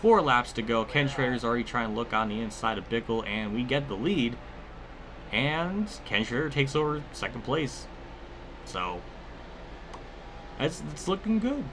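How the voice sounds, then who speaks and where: A man's voice: mid-range voice, expressive, moderate pace, pauses often, background noise. A man giving casual commentary over a racing video game, rambling and often cutting himself off with exclamations. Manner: rambling, self-interrupting, exclamatory. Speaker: gaming video host.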